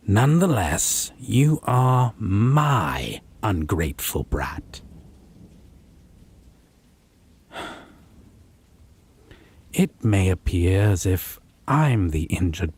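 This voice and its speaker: Man playing a very deep, breathy possessive vampire. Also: a little mellow, exaggerated voice. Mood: smug, possessive. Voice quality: breathy, husky. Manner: haughty, slow, deliberate, theatrical menace. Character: possessive, condescending male vampire lover. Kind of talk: scripted roleplay audio drama monologue.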